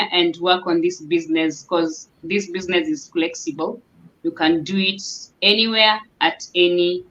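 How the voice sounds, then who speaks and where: A woman's voice: lowish voice, fairly bright tone. A woman hosting a casual online panel chat and handing the word to each guest. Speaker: host of a business chat series. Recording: dull lo-fi sound.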